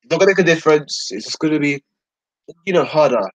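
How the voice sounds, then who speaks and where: A tired sixteen-year-old boy rambling casually to his viewers, halting, repeating himself and trailing off mid-sentence. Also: fairly high pitch, expressive, no room sound, low-quality recording. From United Kingdom. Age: 16, teenager. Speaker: video creator talking to subscribers.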